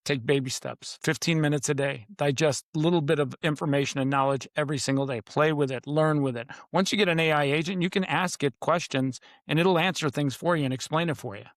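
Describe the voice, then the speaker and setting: A man's voice: fairly high pitch, fairly quick, hardly any pauses, dry recording, studio quality. A man who is a podcast guest and author, talking in a confident, emphatic way. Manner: emphatic, confident, repeats "literally". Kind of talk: casual podcast interview.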